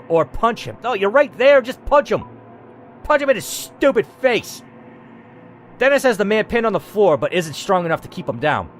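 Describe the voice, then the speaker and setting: Male gaming host, lively and slightly high-voiced, dramatic story narration mixed with sweary off-the-cuff commentary. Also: slight background noise. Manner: theatrical, expressive reading with blunt, sweary asides. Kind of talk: dramatic narration of a text adventure with casual asides.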